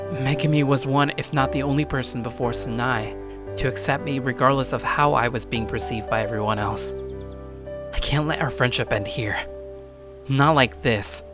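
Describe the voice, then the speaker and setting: A troubled high school boy, acted by a man, tells his own story in an animated visual-novel style drama. His delivery is earnest and brooding, at a middle pitch, with music clearly playing behind him. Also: lightly acted. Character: earnest, troubled male high school student, first-person narrator. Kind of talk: scripted dramatic narration and character dialogue.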